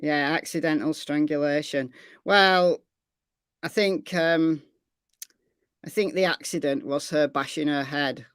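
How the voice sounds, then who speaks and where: A woman's voice: deep voice, steady tone, measured pace, long pauses, smooth, dry recording, studio quality. A woman with a Lancashire accent, hosting a true crime livestream in a casual, chatty way and thanking viewers for donations. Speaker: true crime channel host.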